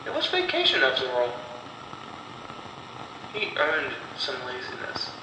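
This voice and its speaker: Slow, echoey male horror narrator. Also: medium pitch, wildly swooping pitch. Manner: deliberate, dramatic, broken phrasing with pauses. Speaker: storyteller and narrator of horror stories. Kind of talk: scripted horror story narration. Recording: roomy echo, distant mic, clear background noise.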